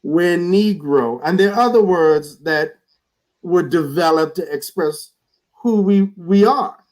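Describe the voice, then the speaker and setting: A man's voice: high voice, slow, a little mellow, low-quality recording. A man speaking as a guest in a reflective conversation over a video call, earnest, often repeating words as he starts a thought.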